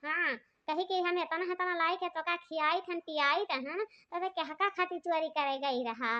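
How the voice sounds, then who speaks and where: A woman voicing a defensive, squabbling married villager in a comic cartoon, excitable and repetitive, with an eastern Uttar Pradesh accent. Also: extremely high pitch, unhurried, heavily acted. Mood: aggrieved. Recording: dull lo-fi audio.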